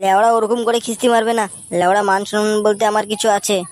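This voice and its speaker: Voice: medium pitch, flat delivery, quick pace, faint music behind, lightly acted. A performer voicing a cocky, foul-mouthed young son in a comic cartoon dub, with a brash, crude manner. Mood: cocky, defiant.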